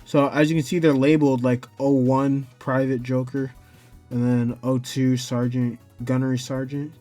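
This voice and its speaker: A man with a mid-pitched voice walks through a software tutorial in a casual, unscripted way. His delivery is hesitant and rambling, with frequent self-corrections. Music plays behind him. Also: flat delivery, moderate pace. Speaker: tutorial host.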